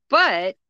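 A woman's voice: bright, forceful tone, dry recording. A middle-aged woman co-hosting a casual chat podcast, talking unhurriedly and laughing often. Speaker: podcast co-host. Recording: dull lo-fi dry recording.

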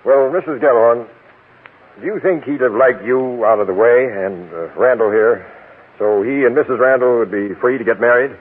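Through a muffled, thin vintage radio recording comes a man acting a police captain with an American accent. He is curt and authoritative, with a rough-edged voice of middling pitch. He runs things with no-nonsense command. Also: moderate pace, lightly acted. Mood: brisk, businesslike. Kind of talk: 1940s radio crime drama acting. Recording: muffled narrow-band old radio recording.

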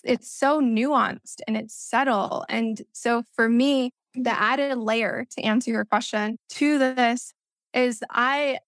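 A woman's voice: on the high side, fairly bright tone, dry recording. A woman from the United States whose first language is Spanish, talking as a guest in a podcast conversation, earnest and reflective, often saying "like". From United States (Missouri).

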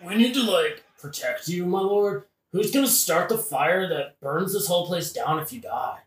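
A man's voice: high voice, animated, moderate pace. A man playing a dim, loyal young soldier who talks in casual slang in a satirical audio play. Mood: eager, casual. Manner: slangy slacker talk. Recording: roomy, echoing audio-play recording.

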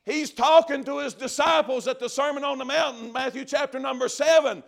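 A man preaching a fiery revival sermon to a congregation, shouting and drawing out his words for emphasis, with lots of repeated phrases. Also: very high-pitched, fairly quick, creaky.

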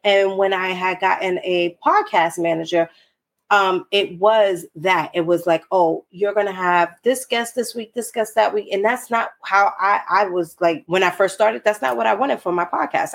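A woman's voice: medium pitch, moderate pace, creaky. A woman hosting her own podcast, nasal and congested from a cold, talking candidly and emphatically to her listeners. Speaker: podcast host. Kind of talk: solo podcast talk.

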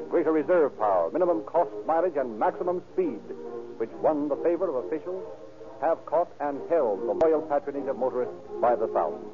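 A man announcing and narrating in a formal, declamatory 1930s radio style with careful enunciation. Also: mid-range voice. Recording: tinny old radio transcription recording.

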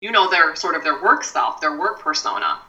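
Woman guest, low and bright, a little off-mic, measured advice-giver. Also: recorded from a distance, muffled recording. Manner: measured, advising, with many "you know" asides. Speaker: mindfulness expert guest. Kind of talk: podcast conversation.

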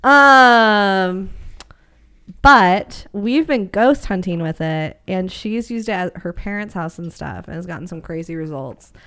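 Clear-voiced woman in casual chat. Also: mid-range voice, unhurried, bright, forceful tone. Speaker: podcast co-host.

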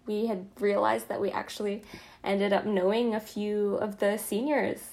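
A woman's voice: medium pitch, level tone, normal speed, vocal fry, darkish tone, rough recording. A woman hosting a casual, chatty interview in an informal, loosely phrased way. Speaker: interviewer, host.

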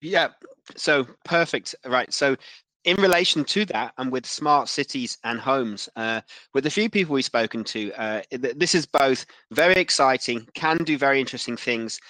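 A man asking conversational interview questions, phrasing them carefully and haltingly with repeated words. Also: mid-range voice, sharp tone, no echo, low-quality recording.

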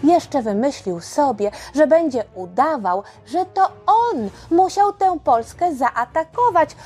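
A woman narrating a history story for children in speech, expressive and colloquial, addressing her listeners directly. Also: sits high, slow pace. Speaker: storyteller and podcast host for children. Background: music.

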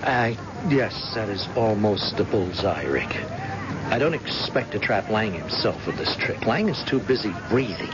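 A man's voice: lowish voice, big pitch swings. An actor playing a stubborn, cagey middle-aged blind father in an old-time radio drama, with a hesitant, folksy delivery. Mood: grim, scheming. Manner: hesitant, folksy, halting interjections. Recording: muffled, noisy old radio recording.

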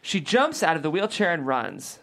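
A woman with a low voice reads stage directions from a script. Her narration is highly animated, moving freely up and down as at a comedy table read. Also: normal speed, creaky.

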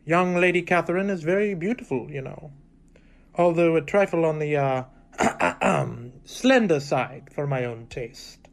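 A man's voice: on the high side, measured pace, vocal fry. A pompous clergyman suitor, played by a man in a radio play. He speaks in formal little speeches, stiff and thoroughly pleased with himself. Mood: smug, earnest. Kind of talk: theatrical radio drama acting.

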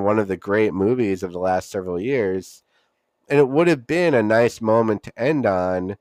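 Male podcast host, lowish pitch, clean resonant tone, dry sound, casual commentary, opinionated, emphatic. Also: a little mellow, smooth, no echo.

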